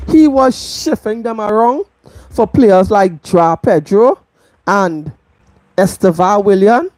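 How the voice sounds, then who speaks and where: Trinidadian woman, football vlog host, unhurried and emphatic, dry close sound. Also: mid-range voice, warm tone, no echo, low-quality recording. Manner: emphatic, repeats points for stress. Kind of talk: live football match review.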